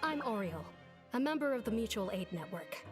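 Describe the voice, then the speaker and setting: A reserved prison inmate with a fairly high voice. It is video game character acting, with music clearly playing behind. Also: female voice, big pitch swings, brisk. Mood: pensive, polite. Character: female prison inmate, member of a mutual aid group, reserved.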